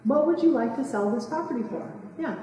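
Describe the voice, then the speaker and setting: Lady teaching a seminar, soft mellow voice, recorded from across a big echoey room. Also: high-pitched, lively intonation, recorded from a distance. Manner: quick, chatty, asks the room questions. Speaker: real estate investing speaker. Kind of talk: live seminar talk to a small audience. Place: meeting room, indoors. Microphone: far camera.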